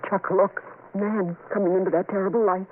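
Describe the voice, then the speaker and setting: A woman's voice: mid-range voice, steady tone, fairly quick, natural voice. An actress playing a frightened wife and protective mother, breathless and melodramatic, in old radio horror. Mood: afraid. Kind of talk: 1970s radio horror acting. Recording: noisy, tinny old radio recording.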